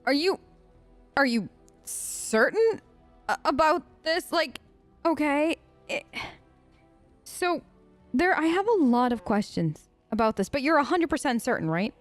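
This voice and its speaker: Chatty girl gamer doing a role-play lets play, high bouncy voice, dry mic w faint music. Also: sing-song, measured pace, long pauses, no echo. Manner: chatty, answers game characters in character. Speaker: gaming host, player. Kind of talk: role-play let's play commentary.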